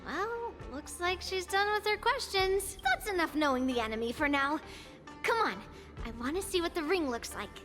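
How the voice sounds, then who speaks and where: A woman playing a confident, impatient young girl mentor in an anime video game, brisk and bossy. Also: very high voice, expressive, fairly bright tone. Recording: game music behind the voice.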